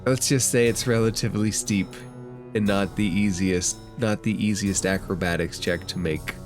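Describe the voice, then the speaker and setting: Hesitant low-voiced male game master. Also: steady tone, moderate pace, faint music behind. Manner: hesitant, repeats words. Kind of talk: narrating a tabletop game.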